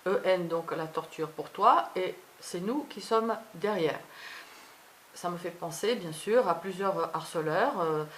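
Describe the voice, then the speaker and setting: Female vlogger, low register, non-native French speaker, unscripted and halting delivery, faintly hissy amateur sound. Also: lively intonation. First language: not French. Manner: halting, fragmented, trailing off. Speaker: video blogger. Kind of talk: unscripted personal vlog monologue.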